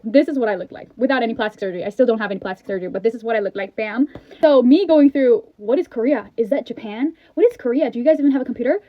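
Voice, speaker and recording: On narrow, tinny audio with no room sound, an American woman talks in a high voice. She is casual yet emphatic and incredulous, often repeating her point. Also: no room sound. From California, USA. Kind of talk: casual direct-to-camera reaction. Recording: dry, tinny phone-like audio.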